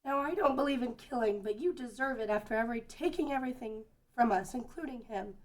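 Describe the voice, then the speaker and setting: Woman as high-voiced gentle forest sage. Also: fast talker, close to an everyday voice. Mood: grieving, accusing. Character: gentle, anxious, grieving young forest sage. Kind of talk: amateur table read of a fantasy script.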